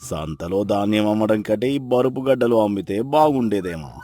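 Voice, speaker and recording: A sarcastic moneylender from a village moral tale, acted by a man, mocking yet kind at heart. The male voice is low, blunt and teasing, with music underneath. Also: lively intonation, moderate pace, warm tone, natural voice. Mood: gleeful mocking. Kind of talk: dramatized moral story acting.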